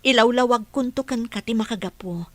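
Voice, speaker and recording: A woman's voice: a little high, fairly quick, no room sound, lightly acted. An actress playing a worried, practical working mother in a radio drama, earnest and respectful in manner. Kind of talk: Ilocano radio drama acting.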